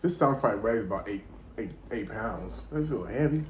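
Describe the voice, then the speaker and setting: A man's voice: on the low side, animated, fast, a little hiss or hum. An American man chatting casually and slangily between bites as a food vlogger, with an informal way of talking. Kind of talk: casual chat while eating.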